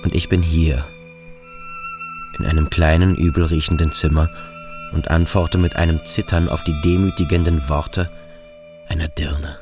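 An actor playing a sensitive, ashamed, brooding boarding-school youth in a radio drama, speaking introspectively in halting, measured phrases. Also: male voice, low-pitched, wildly swooping pitch, over music. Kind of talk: radio drama acting, inner monologue.

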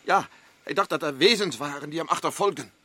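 A man's voice: fairly high pitch, fairly quick, sharp tone. A man playing a nervous, sick explorer in a radio play, talking in a pleading, shaken way. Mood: uneasy. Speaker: expedition member.

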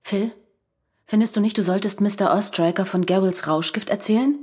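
An actress playing a suspicious, restrained wife in a radio crime drama, probing, with a dark, mellow tone. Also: female voice, medium pitch, normal speed, husky, close to an everyday voice. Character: tense, suspicious wife of an ex-convict.

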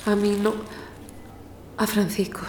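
A woman's voice: mid-range voice, level tone, takes it slowly, a little mellow, echoey room, a little hiss or hum, close to an everyday voice. A woman playing a grieving, timid, polite young woman in a radio drama. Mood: downcast.

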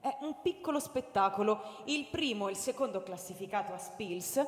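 A woman's voice: mid-range voice, expressive, moderate pace, lightly acted. An actress playing an assured, coaxing, businesslike female TV network interviewer in an audio drama, in an echoey hall. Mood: brisk, encouraging. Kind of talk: theatrical radio drama acting.

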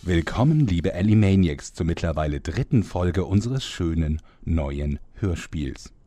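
A man's voice: low-pitched, expressive, a little slow, warm tone, dry recording, soft background music. A man playing the ceremonious narrator of a comic audio drama, addressing listeners in scripted storytelling. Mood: mock-solemn. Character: deep-voiced, ceremonious storyteller greeting fans.

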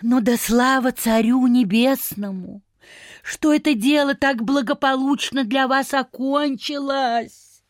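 A busybody country gentlewoman in a radio drama. Her voice is high and unhurried, effusive and self-satisfied, in a dry studio recording. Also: dry recording. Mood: effusive farewell. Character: gossipy, self-satisfied provincial landowner lady. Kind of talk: radio play acting.

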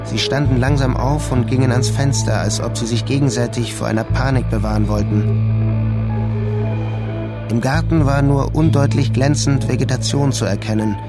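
A man narrating a literary radio drama in a measured, sober and deliberate way. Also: mid-range voice, fairly even intonation. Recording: music clearly behind the voice.

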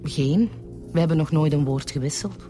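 An actress plays a Flemish mistress answering detectives in a radio drama. Her voice is low and dark, her delivery measured and matter-of-fact. Soft music is behind her. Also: close to an everyday voice. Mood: guarded. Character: cool, matter-of-fact female bookkeeper and mistress under questioning.

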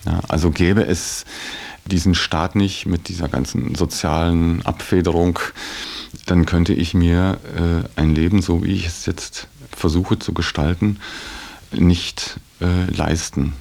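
Very deep, gravelly, thoughtful man. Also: level tone, a little mellow. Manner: halting, thoughtful, often ending phrases with a tag word. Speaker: interviewee in a radio feature. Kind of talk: reflective personal account in conversation.

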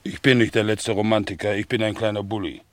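A man playing a devoted, romantic and anxious bulldog in a 1960s German radio play, speaking lyrically with dramatic swells and hushes. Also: fairly low pitch, flat delivery, quick pace, no room sound, close to an everyday voice. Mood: proud, earnest.

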